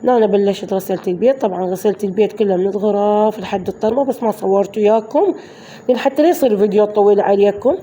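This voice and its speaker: Hoarse Iraqi woman, chatty voice-over. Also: medium pitch, racing, dark tone, a little hiss or hum. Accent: Iraqi Arabic. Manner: chatty, addresses viewers as girls. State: hoarse from flu. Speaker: vlogger. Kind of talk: daily-routine voice-over narration.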